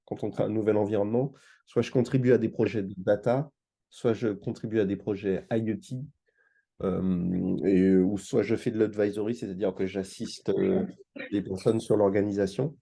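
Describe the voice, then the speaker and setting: A man's voice: fairly low pitch, steady tone, normal speed, vocal fry, warm tone, husky. A man from Martinique giving an informal conference presentation as a guest speaker, explaining in a hesitant way with repeated words. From Martinique, France. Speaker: engagement manager, guest speaker.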